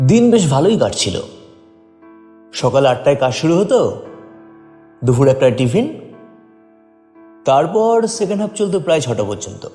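A man's voice: a little high, big pitch swings, normal speed, long pauses, mellow. A man reading a scripted first-person story as a dramatic narrator. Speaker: story reader. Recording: long reverb, faint music behind.

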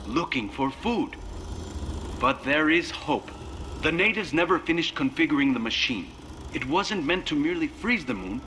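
An actor playing a solemn researcher who explains things in measured, dramatic fashion in a sci-fi game. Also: male voice, medium pitch, pushed and bright, a little hiss or hum. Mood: urgent, hopeful. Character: grave male researcher leaving a recorded message.

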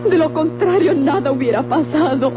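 An actress playing an anguished, defiant daughter in a Mexican radio drama, speaking with melodramatic pleading in a Mexican accent. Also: female voice, high-pitched, moderate pace, natural voice. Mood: pleading, resolute. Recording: tinny, humming old radio recording with music.

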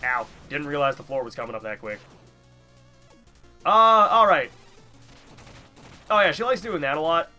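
A man's voice: a little high, animated, normal speed, pauses often, bright, forceful tone, soft background music. A young man with a stuffy nose giving casual, rambling, self-deprecating gameplay commentary. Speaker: gamer, let's player.

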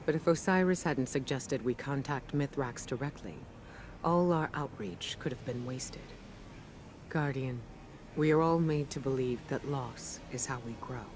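An actress playing a wise, resolute middle-aged female leader in a game cutscene, measured, earnest and dignified. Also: extremely low pitch, darkish tone, a little hiss or hum. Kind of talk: scripted video game cutscene dialogue.

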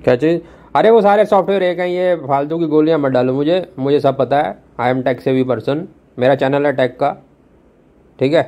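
Mellow-toned young man, insistent and blunt. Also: a little high, moderate pace. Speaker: customer making a complaint call, tech vlogger. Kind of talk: phone complaint, unscripted argument. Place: room at home.

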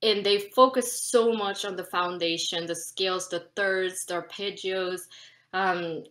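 A woman in her thirties from South Korea, teaching an online flute class in a chatty, animated way with frequent laughter, recorded over a webcam video call from a room at home. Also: fairly low pitch, a little slow.

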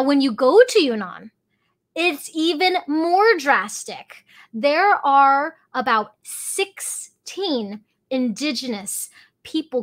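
A woman in her thirties who grew up in Hong Kong and Alaska, hosting an enthusiastic educational podcast from a home kitchen, gesturing as she talks. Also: on the high side. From Hong Kong, raised in Alaska. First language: Cantonese. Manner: animated, gestures with both hands while talking. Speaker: tea company owner and podcast host. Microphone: fixed camera at mid distance.

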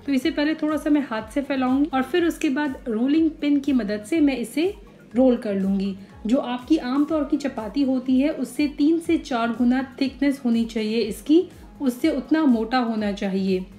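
A young woman talks through a recipe in a calm voice-over, step by step. Her voice sits a little high, and it sounds warm and mellow. Soft music plays faintly underneath. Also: normal speed. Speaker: cooking channel host.

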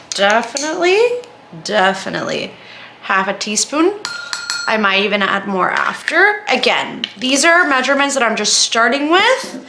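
A woman's voice: medium pitch, sharp tone, slight background noise. A woman giving a casual, chatty home cooking demonstration, informal and full of self-deprecating asides. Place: indoors, home kitchen.

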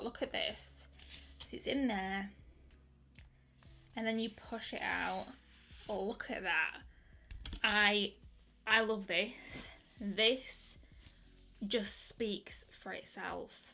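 A young woman in her twenties chatting excitedly through a makeup review, with lots of fillers and restarts. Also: mid-range voice, slow pace, creaky, long pauses, slight background noise. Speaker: beauty vlogger. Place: bedroom with colourful lighting.

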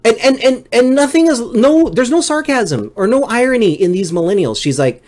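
A man's voice: very high voice, animated, moderate pace, a little mellow. A middle-aged man telling a comic story as a livestream host, exasperated and swearing freely. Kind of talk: comedic storytelling monologue.

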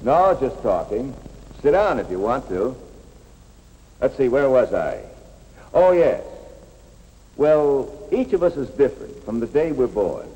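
A man's voice: mid-range voice, big pitch swings, a little slow, pauses often, dark tone. A man playing a patient, plain-spoken middle-aged school coach in a 1950s educational film, explaining things earnestly. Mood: patient, explanatory. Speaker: coach and narrator. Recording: echoey, noisy 1950s film soundtrack.

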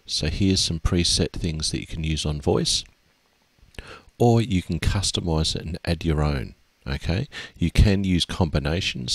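A middle-aged man teaching music software step by step in a steady, explaining way with small fillers, from a small room at his desk. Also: low-pitched, gravelly, breathy edge, dry recording. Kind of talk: screen-recorded software tutorial.

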